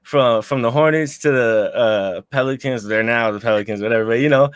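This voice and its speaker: A relaxed young man in his twenties chats casually as an interview guest, loose and slangy, quick to laugh. His voice sits in a middle register and is recorded dry and close, with little room sound. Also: medium pitch, clear, resonant tone, no echo. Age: 20s. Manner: laid-back, slangy, laughs easily. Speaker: guest rapper.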